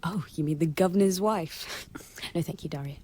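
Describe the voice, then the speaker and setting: A woman acting a young society lady in a radio play. Her voice is slightly low, and her manner is poised, polite and reserved. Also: normal speed, warm tone, lightly acted. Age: young adult.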